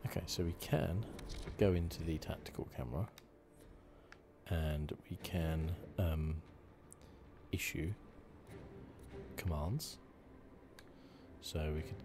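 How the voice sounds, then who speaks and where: A soft-spoken man giving relaxed, unhurried and slightly halting ASMR commentary on a video game. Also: a bass voice, noisy background. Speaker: gaming channel host. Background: game music.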